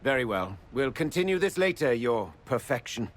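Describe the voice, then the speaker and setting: A man's voice: mid-range voice, sing-song, moderate pace, natural voice. A man playing a stern but courteous noble envoy in a video game, formal and diplomatic. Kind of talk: dramatic video game dialogue.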